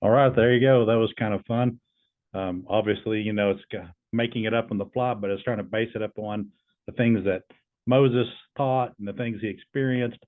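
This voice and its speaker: A man hosting in a casual, low-key way, asking and explaining in halting, loosely built phrases. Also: steady tone. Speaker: video host testing an AI voice. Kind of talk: casual explanatory chat and questioning. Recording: narrow tinny phone-like sound.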